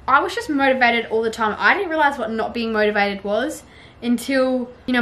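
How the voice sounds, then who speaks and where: A woman's voice: on the high side, a bit bright. Chatty young woman vlogging on a patio. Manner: chatty, encouraging, lots of "like" and "you guys". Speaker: fitness vlogger. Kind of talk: casual vlog chat to camera. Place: sunny outdoor patio at home.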